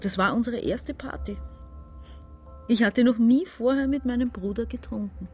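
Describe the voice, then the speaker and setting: Actress as a bossy, desperate sister around forty. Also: female voice, on the high side, moderate pace, mellow, faint music behind, close to an everyday voice. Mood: subdued recounting. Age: about 40. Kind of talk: German radio crime drama acting, narration and dialogue.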